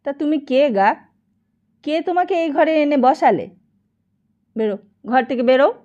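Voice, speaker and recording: A woman storyteller plays a brash older madam from a novel, high and loud. She scolds and haggles in blunt colloquial speech, full of suspicious questions. The sound is slightly dull. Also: lively intonation, a little slow. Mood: scolding, suspicious.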